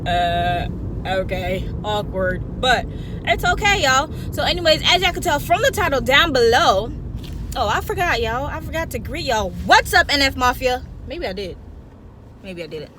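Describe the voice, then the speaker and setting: High-voiced young woman telling a story. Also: normal speed. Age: young adult. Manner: animated, rambling, self-interrupting. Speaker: vlogger. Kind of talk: casual chatty storytime. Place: in a car. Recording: noisy car interior with traffic hum.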